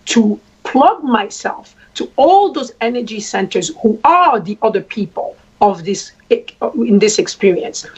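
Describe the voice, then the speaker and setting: A woman from Congo, a traditional queen, speaking at length in a deliberate way and repeating phrases for emphasis. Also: mid-range voice, a little mellow, breathy edge. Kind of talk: spoken address. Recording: dull lo-fi sound.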